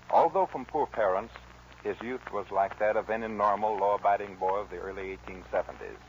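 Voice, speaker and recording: A man announcing and narrating a scripted 1940s radio show. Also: medium pitch. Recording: dull, noisy 1940s radio recording.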